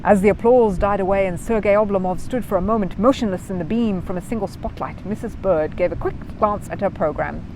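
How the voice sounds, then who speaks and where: A woman reading a children's story aloud in an expressive, animated way. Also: medium pitch, fairly quick, hardly any pauses, music in the background, rough recording. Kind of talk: expressive scripted narration.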